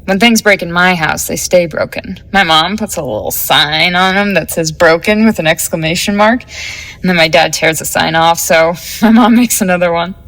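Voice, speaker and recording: Gravelly, cool teenage gang girl. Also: on the low side, normal speed, fairly bright tone. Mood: gossipy, amused. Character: cool, scheming, slangy teenage girl gang member, 1950s. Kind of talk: audio drama acting.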